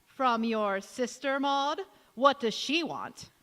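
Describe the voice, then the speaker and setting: A woman's voice: high-pitched, slow, fairly bright tone. A woman playing a bitter, disapproving female cousin with a tart, cutting delivery in a stage radio play. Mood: scornful. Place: large hall, live stage performance. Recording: echoey hall with long reverb.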